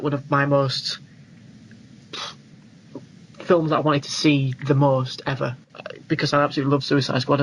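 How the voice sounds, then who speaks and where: A man co-hosting a podcast, chatting casually and with informal, opinionated enthusiasm about films. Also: fairly high pitch. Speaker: podcast co-host. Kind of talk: casual film discussion. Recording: clearly noisy recording.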